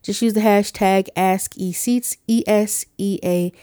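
A woman hosting a podcast in a brisk way, spelling out web addresses letter by letter. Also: mid-range voice, fairly even intonation, dark tone, no echo, clean studio sound. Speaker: podcast host.

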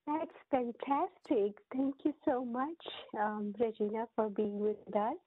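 A woman's voice: on the high side, fairly even intonation, unhurried, husky. Polite woman host on a tinny line. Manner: polite, formal phrasing. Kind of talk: interview hosting. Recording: dry, phone-like audio.

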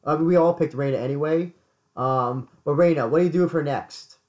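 A man co-hosting a casual podcast chat, hoarse, often stumbling and repeating words. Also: a little high, a little fast, darkish tone. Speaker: podcast co-host.

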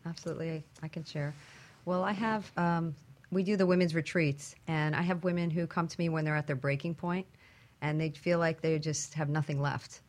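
A woman with a low voice speaks as an interview guest. She explains things in a conversational style, as someone talking about her own work. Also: steady tone, normal speed. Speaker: guest, women's retreat organiser.

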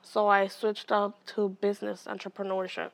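A young woman from Oakland, an educator and mentor, answering interview questions outdoors in a slow, careful way. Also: mid-range voice, monotone, creaky, rough recording. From Oakland, California.

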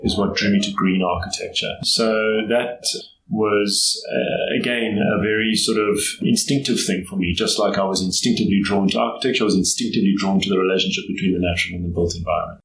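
A middle-aged man with a South African accent, answering interview questions in a thoughtful, measured way with pauses to choose his words. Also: low-pitched, fairly even intonation, vocal fry, fairly bright tone. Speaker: guest. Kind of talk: interview conversation. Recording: echoey room, faint background noise.

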